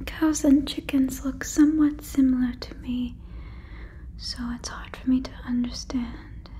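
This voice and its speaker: A woman playing a gentle, curious, naive female alien in an ASMR roleplay, in a breathy whisper with stilted, formal, careful phrasing, close on the microphone. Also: high voice, steady tone, unhurried. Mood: gentle, apologetic. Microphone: close fluffy microphone.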